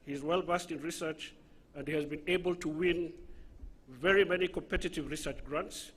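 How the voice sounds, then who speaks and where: Husky Somali-accented ceremony emcee. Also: male voice, a little high, fairly bright tone. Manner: measured, with asides to the audience. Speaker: ceremony emcee reading a citation. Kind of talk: formal ceremonial introduction. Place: large graduation ceremony venue.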